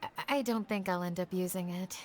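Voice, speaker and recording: A woman playing a gentle, reserved young woman in an anime video game. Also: on the low side, fairly even intonation, a little fast, slight background noise, natural voice.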